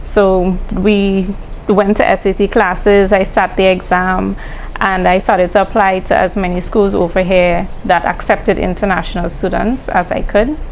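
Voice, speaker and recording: A woman's voice: mid-range voice, flat delivery, unhurried, slight background noise, rough recording. Composed Caribbean-born woman. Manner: composed and articulate, with some careful hedging. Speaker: interviewee. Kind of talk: personal interview recollection.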